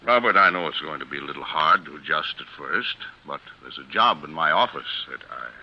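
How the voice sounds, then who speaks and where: An actor playing an earnest, fatherly defense lawyer in 1940s radio style, speaking in formal, measured phrases. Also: extremely low pitch, animated. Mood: gentle, reassuring. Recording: muffled old radio recording.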